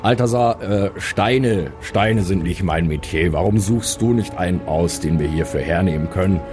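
A man's voice: low voice, normal speed. A man, improvising in character in a tabletop game in a dry, grumbling manner. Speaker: player in a tabletop role-playing game. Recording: prominent music behind the voice.

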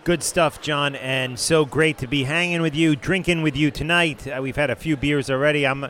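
A man's voice: on the high side, steady tone, moderate pace, a bit bright. A man from the Buffalo area co-hosting a casual podcast chat in a hotel bar, upbeat as he introduces the guests. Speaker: podcast co-host.

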